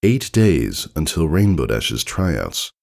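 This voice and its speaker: A man reading a story aloud as narrator, in an expressive, measured and dramatic storytelling manner. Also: rumbling low, gravelly.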